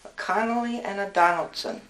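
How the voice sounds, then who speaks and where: A woman interviewing an elderly nun for an oral history, gentle and encouraging with short acknowledgments. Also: mid-range voice, fairly quick, reverberant.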